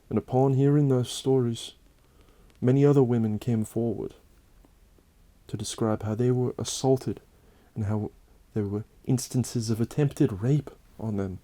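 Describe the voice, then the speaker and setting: A man with a soft, mellow voice delivers a scripted, satirical tale. His delivery is solemn and theatrical, leaning on key phrases. The sound is dry, with very little room in it. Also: medium pitch, no echo. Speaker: host and narrator of a Halloween special. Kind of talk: scripted dramatic narration with satirical asides.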